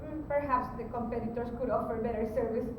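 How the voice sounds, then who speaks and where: Female conference presenter, high pitch, explanatory and halting, with rumbly noise under a very reverberant distant recording. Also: steady tone. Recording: very echoey, distant mic, rumbling background noise.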